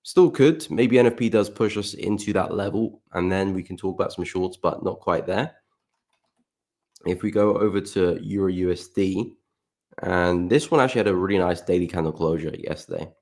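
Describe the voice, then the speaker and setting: A man talks through market charts on a live stream in a deep, low voice. His throat is croaky and he keeps clearing it. His manner stays relaxed and chatty, and he greets viewers by name. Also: normal speed. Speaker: forex trading livestream host.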